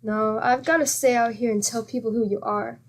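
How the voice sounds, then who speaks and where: An actress playing a patient, good-humored wife with a teasing, exclamatory manner in a 1940s-style radio comedy. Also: female voice, on the high side, steady tone, fairly quick, lightly acted.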